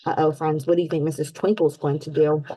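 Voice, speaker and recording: Expressive woman reading a children's storybook, dry sound. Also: low voice, no room sound, poor audio. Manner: expressive, deliberate, addresses listeners as friends. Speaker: read-aloud host for children. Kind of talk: storybook read-aloud with questions to young listeners.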